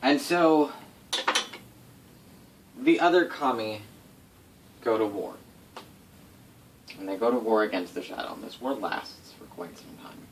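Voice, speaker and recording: A man's voice: medium pitch, unhurried, pauses often. A man informally telling tabletop game lore to players as game master, in a casual, rambling way with swearing and self-corrections. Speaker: game master recounting setting history to players. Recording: distant mic with faint background noise.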